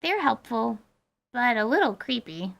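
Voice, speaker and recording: A woman's voice: a little high, sing-song, unhurried, vocal fry, fairly bright tone, heavily acted. A woman playing a blunt, curious young girl adventurer in a fantasy audio drama, with colloquial speech. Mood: curious, pondering.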